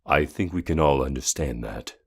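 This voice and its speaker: A man's voice: a bass voice, normal speed, full character voice. A man playing a loyal, concerned royal guardsman in an audio drama, speaking formally and respectfully. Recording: music clearly behind the voice.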